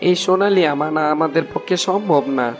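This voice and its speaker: A man plays a king's soldier in a fairy-tale cartoon. The voice sits somewhat high and sounds deferential and dutiful, with light music behind it. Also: brisk, lightly acted. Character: deferential royal soldier reporting to his king.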